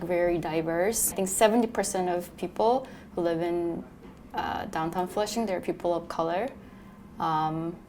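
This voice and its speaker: A woman's voice: on the low side, darkish tone. Hesitant young woman, faint room noise. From Korea. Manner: hesitant, many fillers. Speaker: documentary interviewee. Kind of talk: casual testimony.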